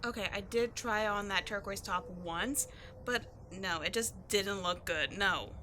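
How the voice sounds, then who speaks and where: A woman playing a cocky, sarcastic tomboy adventurer in an audio roleplay, slangy and expressive in her exasperation. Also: medium pitch, unhurried, a little hiss or hum. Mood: teasing. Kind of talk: scripted ASMR-style audio roleplay, one-sided dialogue.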